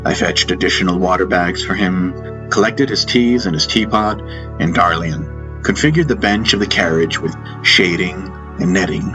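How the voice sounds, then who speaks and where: Low voiced guy narrating a fantasy audiobook, music playing behind him. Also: normal speed. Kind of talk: scripted first-person narration of a fantasy novel. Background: background music.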